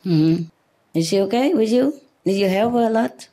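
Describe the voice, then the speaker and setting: A woman with a mellow, warm voice talks with a group as their spiritual teacher. She is chatty and teasing and laughs easily. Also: medium pitch, expressive, normal speed. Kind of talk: casual chat with a group.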